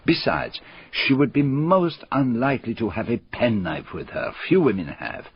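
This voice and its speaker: A fastidious, unruffled foreign detective speaks formal, precise English with a French accent. His voice is husky and his intonation swings freely. It is a radio drama with a slightly dull, muffled sound. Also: mid-range voice, sing-song, no echo, heavily acted. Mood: probing, assured. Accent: French-speaking European. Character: fastidious, courteous foreign male private detective, sharp and unruffled.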